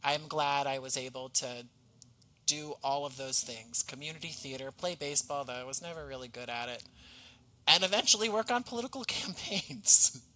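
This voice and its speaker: Guy guest on a podcast, really bright crisp-edged voice, talks in full earnest sentences. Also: medium pitch, normal speed, poor audio. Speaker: podcast guest, audio drama writer. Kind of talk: thoughtful conversational interview.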